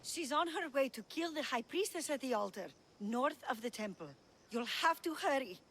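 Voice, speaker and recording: A woman playing a frightened, pleading servant of a priestess in a video game, with a nervous, halting delivery. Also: high-pitched, lively intonation, a bit bright, full character voice. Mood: anxious. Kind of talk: video game cutscene acting.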